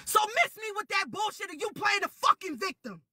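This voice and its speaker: A young woman ranting on a livestream, shouting often and swearing heavily in repeated lines. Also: very high voice, very animated, a little fast. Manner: shouting, profane, repetitive. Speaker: livestream guest. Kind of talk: heated livestream rant.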